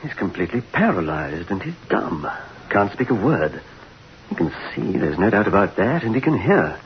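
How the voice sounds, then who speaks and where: Ship's doctor: grave, matter-of-fact, fairly low, 1960s radio suspense, muffled with faint noise. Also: male voice, very animated, normal speed. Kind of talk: 1960s radio horror acting.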